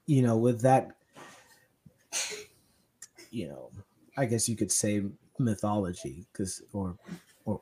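A young man from Kenya hosts a casual podcast chat. His voice is mellow and relaxed, and he talks haltingly, restarting his sentences and leaning on fillers. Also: mid-range voice. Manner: hesitant, many restarts and fillers. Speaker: podcast host. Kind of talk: casual conversation.

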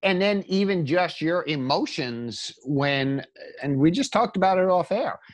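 A man's voice: on the high side, expressive, measured pace, no echo. Man riffing emphatically in a dry recording. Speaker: podcast co-host and writer. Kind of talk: animated casual talk, motivational riffing on writing.

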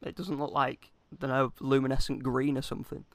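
A man's voice: mid-range voice, fairly even intonation, a little fast, gravelly, a loud crowd. A British man co-hosting a casual comedic chat, irreverent and sweary, presenting bits he has looked up. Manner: laughs through speech, irreverent, sweary. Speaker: podcast co-host presenting researched facts.

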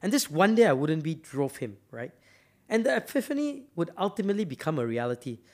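A man's voice: a little high, sing-song, brisk, darkish tone. A man from Malaysia giving a motivational talk, emphatic and often repeating words as he builds up to a point. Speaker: speaker.

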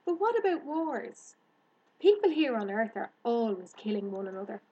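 Woman voicing an eager, high-pitched young farm girl. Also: sing-song, moderate pace, warm tone, husky, distant mic, muffled recording. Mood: earnest, worried.

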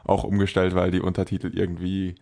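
Young man casting note: low voice, rambling emphatic podcast film reviewer. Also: monotone, fast, gravelly, dark tone. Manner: rambling, self-correcting, emphatic. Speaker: podcast co-host. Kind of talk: casual film review chat.

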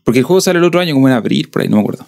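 A Chilean man hosting a casual podcast, his voice sitting at an ordinary middle pitch. He rambles through stories in a relaxed, conversational way. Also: very fast, gravelly. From southern Chile. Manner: rambling, chatty storytelling. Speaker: podcast host.

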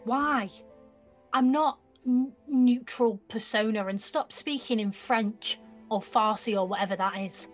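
Defiant nineteen-year-old girl, played by an actress: slightly high, slangy, sulky, unhurried, audio drama with faint music bed. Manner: slangy, blunt, petulant. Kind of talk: audio theatre acting.

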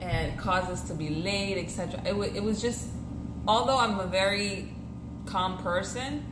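A woman's voice: mid-range voice, normal speed. A woman in her thirties from Haiti, French her first language, hosting her own podcast in a chatty, self-correcting monologue full of fillers and restarts. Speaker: podcast host, realtor. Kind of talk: casual personal monologue. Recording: roomy echo with clear background noise.

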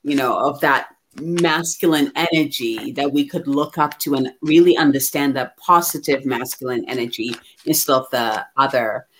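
A woman from Ghana talking candidly and reflectively about herself as a guest, in an expressive conversational way. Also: rumbling low, normal speed, hardly any pauses, a little hiss or hum, low-quality recording. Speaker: guest receiving a card reading.

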